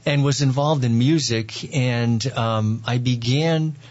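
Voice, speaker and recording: A man with a New York accent, a priest, telling his story in an interview with many pauses and fillers. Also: medium pitch, a little mellow, lo-fi sound. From Long Island, New York. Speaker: priest, guest.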